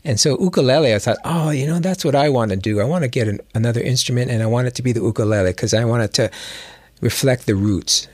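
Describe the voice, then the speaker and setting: A man's voice: mid-range voice, fast talker, no echo, clean studio sound. A man from Hawaii telling stories casually as a guest musician and teacher, reflective and often rephrasing as he goes. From Hawaii, United States. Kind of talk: casual interview storytelling.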